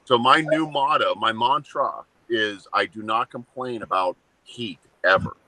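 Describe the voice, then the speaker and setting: A man in his seventies co-hosting a casual talk show, joking bluntly and ranting in short, flat statements. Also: medium pitch, measured pace, dry recording, poor audio. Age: 70s. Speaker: co-host. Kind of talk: casual chat.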